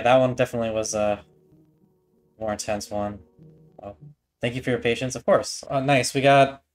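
A young man hosts a casual reaction video from a small room. His voice sits at an average pitch and his commentary is unscripted and conversational. Music plays behind him. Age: young adult. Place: small bedroom, indoors.

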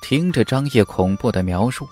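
A man narrating a serialized fantasy audiobook in an expressive storytelling style. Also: medium pitch, moderate pace, soft background music.

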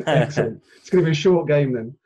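A man's voice: on the high side, animated, fairly quick, mellow, slightly rough, poor audio. A middle-aged man chatting casually as a guest podcaster over a video call. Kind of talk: casual chat.

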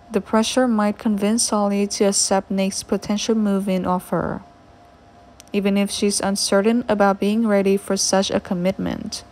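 A woman narrates a written script with a smooth, even read-aloud delivery. Her voice sits at an ordinary middle pitch for a woman, steady from sentence to sentence. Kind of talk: scripted narration of soap opera spoilers.

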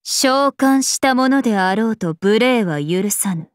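Casting: ageless regal game princess, haughty and composed, measured pace, slightly high voice. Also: female voice, animated, dry recording, studio quality. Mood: stern, cold. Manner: imperious, archaic, composed, aloof. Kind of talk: Japanese mobile game character voice lines.

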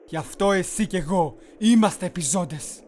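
A man playing a commanding, menacing Mongol warlord in a measured, persuasive way, in an amateur game dub. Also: high-pitched, darkish tone, a little hiss or hum, close to an everyday voice. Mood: calm menace.

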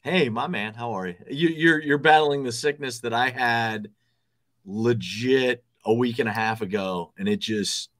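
A man's voice: medium pitch, measured pace, fairly bright tone. A man in his thirties, a TV sports director, giving confident, emphatic sports analysis over a video call from an office. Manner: emphatic, confident, repeats words for stress. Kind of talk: casual sports analysis chat. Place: office with desks, indoors.

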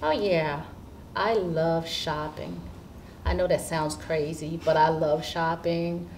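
A woman's voice: sits low, fairly even intonation, slow pace, a little mellow. A woman in her fifties from Haiti, an assistant principal answering questions in a seated interview. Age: 50s. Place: indoor school studio with curtain backdrop. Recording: distant mic with background room noise.

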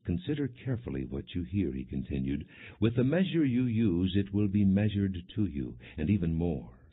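Male scripture narrator: low, husky, solemn and measured; dull, band-limited audio. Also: very animated. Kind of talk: scripted narration, non-dramatized scripture reading.

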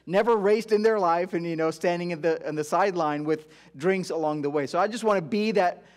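Middle-aged male guest speaker, from India and raised in Dubai; somewhat higher-pitched voice, informal, halting sermon delivery. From India-born, grew up in Dubai. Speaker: guest preacher at a church. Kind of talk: informal sermon, personal testimony. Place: church auditorium stage, before a congregation.

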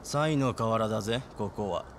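A man's voice: lowish voice, normal speed, slight background noise, close to an everyday voice. An actor playing a menacing street thug guarding his turf in a game cutscene, brusque and threatening. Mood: curt. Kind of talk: Japanese game cutscene acting. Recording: loud music behind the voice.

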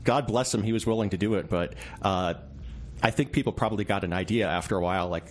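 A man's voice: lowish voice, fast. A man talking casually as an interview guest on a radio show.

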